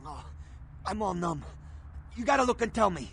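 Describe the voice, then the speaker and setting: Wounded criminal contact for a game: higher-pitched, gasping, profane, bitter, in severe pain. Noisy ambience behind. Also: male voice, animated, vocal fry, fairly bright tone, heavily acted. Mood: pained, panicked. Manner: groaning, coarse, halting tough-guy talk. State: in agony, gasping. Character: badly beaten, foul-mouthed underworld contact, bitter and panicked. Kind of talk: video game voice acting.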